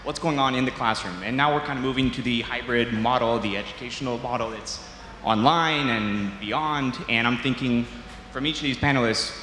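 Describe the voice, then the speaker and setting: A man's voice: medium pitch, moderate pace, sharp tone. Male audience questioner, mid voice, earnest, echoey hall, faint noise. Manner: earnest, thinking aloud. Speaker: audience member asking a question. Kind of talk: question from the floor. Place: large conference hall.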